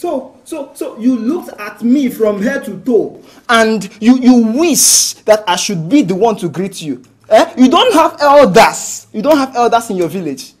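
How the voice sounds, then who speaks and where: Scornful nigerian lady officer, husky voice, very animated, scolding and stammering, film comedy drama. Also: a little high, measured pace. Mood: indignant, scornful. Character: indignant, scornful government officer in compound quarrel. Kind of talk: screen comedy-drama acting.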